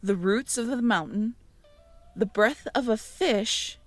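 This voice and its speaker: Woman going real slow over her notes, asking the listener if she got it right, soft little laughs. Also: on the high side, no echo, faint music behind. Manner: musing aloud, reading notes, checking back with the listener. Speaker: audio roleplay performer. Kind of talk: intimate audio roleplay, talking to the listener.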